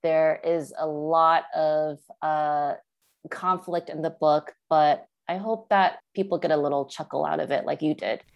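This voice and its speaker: A woman's voice: low-pitched, fairly even intonation, a little slow, vocal fry. A woman author from Taiwan, whose first language is Mandarin Chinese, answering interview questions thoughtfully, with fillers and restarts. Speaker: author guest.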